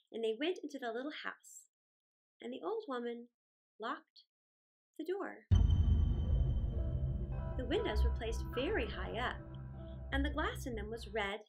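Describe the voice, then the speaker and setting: A middle-aged woman reading a children's story aloud indoors, with deliberate, dramatic phrasing and drawn-out words. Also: a little high. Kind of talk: expressive read-aloud storytelling. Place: small indoor room, plain wall.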